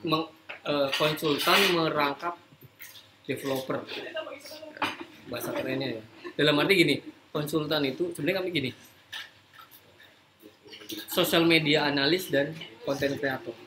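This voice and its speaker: A man's voice: a little high, steady tone, normal speed, long pauses. A man hosting a casual live talk, explaining informally and mixing in English business terms. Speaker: host, creative agency founder. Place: room, live social media broadcast. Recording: noisy live-stream room recording.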